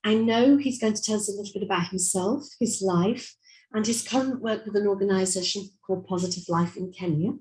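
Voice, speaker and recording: A woman presents at an online seminar in a measured, formal way. Her voice sits at a middle pitch. She pauses now and then to restart a word. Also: darkish tone, rough recording. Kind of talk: conference presentation.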